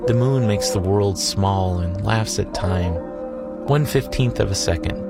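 A man's voice: lowish voice, a little slow, creaky. A man narrating a travel documentary in a dry, wry, reflective way. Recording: voice-over with music behind.

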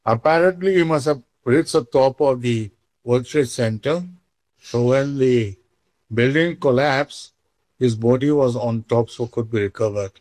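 A man with an Indian accent shares personal memories in an interview. His voice sits at a middle pitch, and the recording is dry and close. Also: unhurried, darkish tone, no echo. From Manipur, India. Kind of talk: personal reminiscence, conversational.

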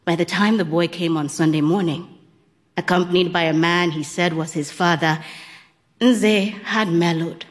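Woman narrator for literary fiction, calm and measured, lower voice, long reverb tail. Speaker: audiobook narrator. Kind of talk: first-person literary narration.

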